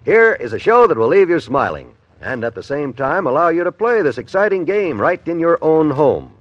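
A man's voice: a little high, very animated, normal speed, no echo. A man with an American accent doing polished, upbeat scripted announcing in 1950s radio style. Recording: dull vintage radio recording.

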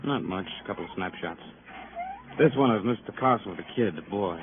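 An actor playing a talkative, wry and weary police sergeant in a 1950s radio crime drama, speaking in short colloquial sentences. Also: male voice, low voice, animated, a little fast. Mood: chatty, streetwise. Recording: noisy, narrow-band vintage radio recording.

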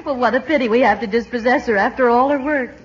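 An actress playing a genteel, sweetly sentimental, gently scolding mature lady in 1940s radio comedy. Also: a little high, fast, close to an everyday voice. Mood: tender. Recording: muffled, faintly noisy radio recording.

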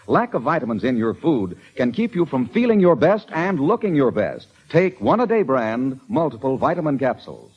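A man's voice: mid-range voice, breathy edge, highs cut off. A man reading a scripted 1940s-style radio advertisement with emphatic, slogan-driven delivery, on an old recording. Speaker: commercial announcer.

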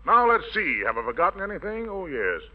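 A very high-voiced American man emcees a 1940s radio variety show with showy, playful hepcat slang. The sound is a dull, aged broadcast recording. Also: big pitch swings, a little fast. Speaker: master of ceremonies.

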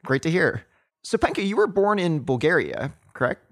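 A man's voice: fairly high pitch, sing-song, normal speed, no echo, studio quality. A man hosting a podcast, reading a scripted intro and then asking hesitant questions full of "like". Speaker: podcast host.

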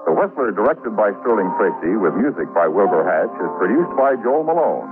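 A man's voice: fairly low pitch, big pitch swings, a little fast. A man announcing scripted credits in the style of 1940s radio. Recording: muffled old radio, loud music.

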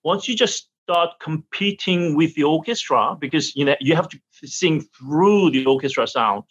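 Halting man, dull audio. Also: a little high, expressive, husky. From South Korea. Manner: halting, searching for words in English, laughs often. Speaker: opera singer and vocal teacher. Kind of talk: interview answers.

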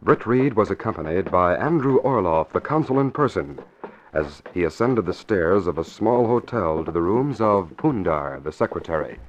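Lowish-voiced vintage radio serial narrator. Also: male voice, animated, normal speed, slight background noise. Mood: narrating. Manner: formal, storytelling. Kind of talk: scripted 1940s radio narration. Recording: dull vintage radio recording.

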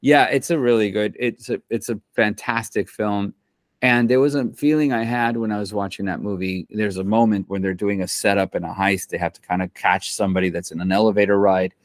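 Man, low voice, relaxed rambling talk, slangy, dry recording. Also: brisk, dry recording. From Central America. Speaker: film and TV composer. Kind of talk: casual interview chat.

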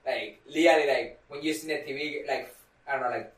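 A man's voice: medium pitch, brisk. A young man co-hosting a podcast in casual chat, with loose, filler-heavy speech and non-native grammar. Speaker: podcast co-host. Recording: echoey room, far from mic.

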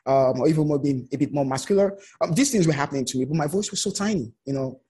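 Husky higher-pitched man telling personal stories. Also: quick pace. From outside the United States. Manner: animated retelling with quoted remarks. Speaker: interview guest. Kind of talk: personal storytelling in a conversational interview.

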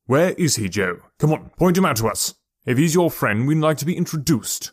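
A man's voice: mid-range voice, animated, unhurried, slightly rough. A man doing a fed-up demanding father. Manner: demanding, loud. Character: frustrated rational scientist father.

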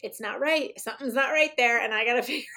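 A woman guest in a casual interview, her voice slightly raspy today, talking in a rambling way with many fillers and restarts. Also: on the high side, fast, pushed and bright. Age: adult, mother of children.

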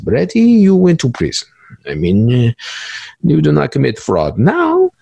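A man playing an easygoing, boastful male cybercriminal in an interview role-play, halting and repeating phrases in stilted formal wording. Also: mid-range voice, gravelly, dark tone, breathy edge, no room sound, pitched above the performer's own voice, exaggerated voice.

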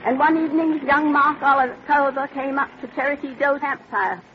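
A woman's voice: high-pitched, brisk, lightly acted. An actress playing a wistful pioneer woman, narrating her memories in an old-time radio drama. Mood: reminiscent. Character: wistful pioneer woman recalling her wagon-train days. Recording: muffled, faintly hissy vintage radio recording.

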